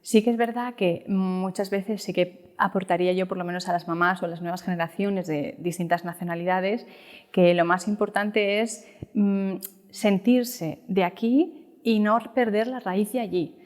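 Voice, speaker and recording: A woman's voice: medium pitch, fairly even intonation, moderate pace, a little mellow. A thirty-year-old woman from Spain with a Spanish accent, chatting casually about her experience as an interview guest. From Valencia, Spain. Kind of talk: casual chat, explaining personal experience. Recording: echoey room with long reverb.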